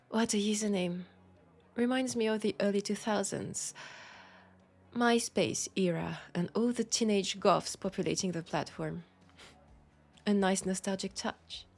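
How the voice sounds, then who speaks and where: Woman acting a breathy, slow-spoken French-accented vampire. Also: medium pitch, steady tone. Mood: teasing, amused. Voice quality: breathy, soft, slipping into whispers. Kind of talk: intimate audio roleplay addressed to the listener.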